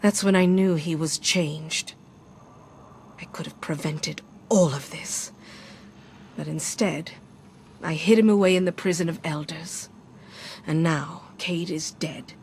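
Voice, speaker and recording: An actress playing a grieving, resolute royal guardian in a video game, speaking in a grave, measured, restrained way. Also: female voice, on the low side, a little hiss or hum. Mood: grave resolve. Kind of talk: dramatic video game narration.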